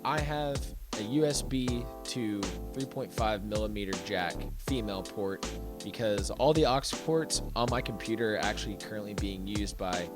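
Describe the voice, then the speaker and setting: A man's voice: lowish voice, moderate pace. A man giving an instructional tech tutorial, explaining things step by step. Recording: music behind the voice. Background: background music.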